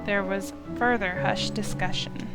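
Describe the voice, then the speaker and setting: A woman's voice: mid-range voice, fairly even intonation, unhurried. A woman narrating a visual novel, reading the story aloud in a dramatic scripted style. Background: music.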